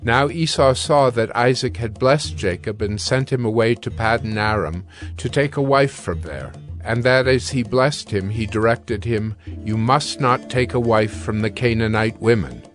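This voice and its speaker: A man narrating scripture from a script in a measured, formal way. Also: lowish voice.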